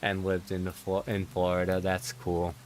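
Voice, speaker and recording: A man's voice: low-pitched, monotone, normal speed, slight background noise. A young man from New York, chatting casually on a game livestream and answering viewer comments in a rambling, self-correcting way. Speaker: Minecraft livestreamer. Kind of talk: casual live-stream chat reading viewer comments.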